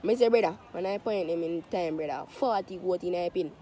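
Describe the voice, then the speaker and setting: Young man, Jamaican accent, high pitch, slangy casual storytelling, swears freely, noisy outdoor background. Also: brisk, dark tone. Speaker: storyteller in a group of friends.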